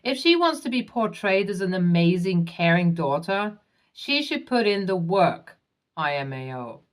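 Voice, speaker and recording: A woman with a somewhat low voice narrates online stories in a scripted manner. Her delivery stays even and measured, and she spells out acronyms letter by letter.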